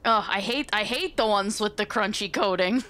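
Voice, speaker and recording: A woman's voice: medium pitch, normal speed, sharp tone, a little hiss or hum, lightly acted. A woman playing a cocky, boastful young gargantuan bird in a tabletop game, with a breezy, smug manner.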